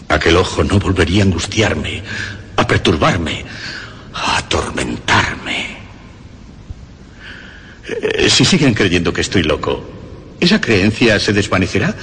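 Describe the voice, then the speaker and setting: Radio horror narrator-killer, nervous and obsessive, dramatically animated, drenched in long hall reverb with slight noise. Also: male voice, sits low, exaggerated voice. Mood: grim relief. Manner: dramatic, halting phrases swinging from hushed to frantic. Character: nervous, obsessive mad murderer telling his crime.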